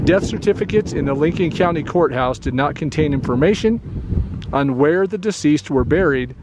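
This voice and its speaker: A man's voice: a little high, expressive, normal speed. A middle-aged man vlogging casually outdoors in the desert, chatty and a little winded from hiking. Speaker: travel vlogger. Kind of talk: casual outdoor vlog narration. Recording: noisy outdoor recording.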